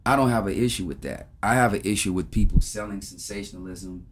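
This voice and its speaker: A man's voice: fairly low pitch, quick pace. A man who grew up in Ethiopia, speaking earnestly and reflectively as a guest in a casual interview. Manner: earnest and reflective, recites rhymes. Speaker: guest musician.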